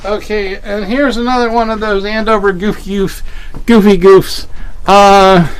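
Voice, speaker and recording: A man's voice: high voice, fairly even intonation, unhurried, clear, resonant tone, rough recording. A man from Western Pennsylvania co-hosting a casual fabric show, describing prints and prices with wry jokes. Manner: joking, wry asides. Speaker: fabric shop co-host. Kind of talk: casual live product showcase.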